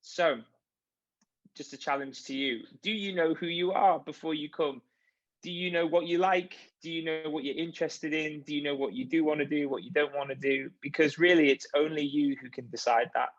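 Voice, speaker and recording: A man's voice: a little high, steady tone, normal speed. A man, a university chaplain giving a friendly, chatty, encouraging welcome talk over a video call. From Liverpool, England. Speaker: university chaplain and events coordinator. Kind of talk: informal online welcome talk. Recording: dull lo-fi video call audio.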